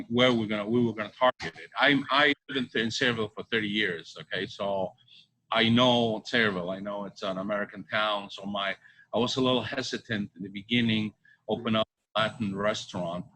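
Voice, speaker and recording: A middle-aged man from Bolivia answering interview questions conversationally, halting and repeating his phrases. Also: fairly low pitch, sharp tone, low-quality recording. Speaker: restaurant co-owner. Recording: faint background ambience noise.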